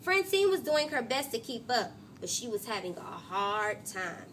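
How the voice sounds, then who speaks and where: A woman teacher reading a storybook aloud expressively to a class, pausing to question pupils and echo their answers. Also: fairly high pitch, big pitch swings. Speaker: third grade teacher. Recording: noisy classroom ambience.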